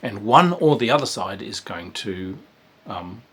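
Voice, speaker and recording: A man talks through a tabletop game in an easygoing, meandering way, full of fillers and restarts. His voice sits a little low and has a husky grain. A dry, dark humour runs through his delivery. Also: lively intonation, unhurried. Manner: rambling and informal, with many fillers and restarts. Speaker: game reviewer. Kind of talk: casual spoken game review.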